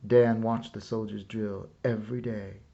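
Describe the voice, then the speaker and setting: Slow, gravelly man reading a story aloud. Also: lowish voice, flat delivery, dark tone, muffled recording. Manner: measured, dramatic read-aloud delivery. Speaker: storyteller reading a picture book. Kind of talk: expressive scripted story narration.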